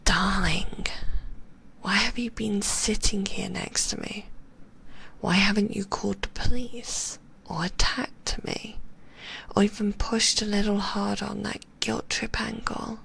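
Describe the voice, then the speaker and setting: A woman voicing a possessive, sweetly manipulative female magician, whispering in a soft, cooing and softly condescending way. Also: mid-range voice, flat delivery, a little slow, vocal fry, husky, heavily acted. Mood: teasing. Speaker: ASMR roleplay performer. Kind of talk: whispered ASMR roleplay.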